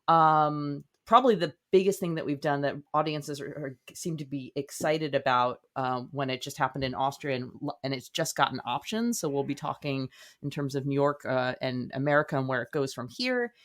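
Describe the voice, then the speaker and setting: Effusive woman as an interview guest. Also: deep voice. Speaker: guest, playwright. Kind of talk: conversational interview.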